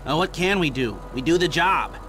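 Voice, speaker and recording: A man's voice: a little high, expressive, moderate pace, a bit bright. A man playing a dry, cynical criminal planner in a video game, articulate and sardonic. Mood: matter-of-fact, sardonic. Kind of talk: video game dialogue acting. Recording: car and room noise behind.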